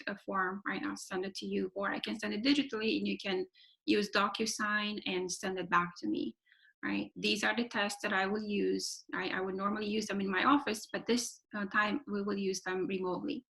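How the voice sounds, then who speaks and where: A woman talks through her practice on a webinar call. Her voice is bright and crisp with a gravelly fry at the ends of phrases. She keeps pausing on "um" and "uh" and tags her points with "right?". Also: mid-range voice. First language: Romanian. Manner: explanatory, frequent "right?" tags and fillers. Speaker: clinical evaluator giving a webinar talk. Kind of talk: webinar presentation. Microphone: video call.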